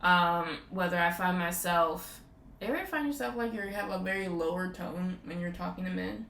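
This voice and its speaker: A woman's voice: on the low side, normal speed, gravelly, fairly bright tone. A woman hosting a casual podcast chat, rambling with lots of "like" fillers. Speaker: podcast host. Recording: roomy echo with faint background noise.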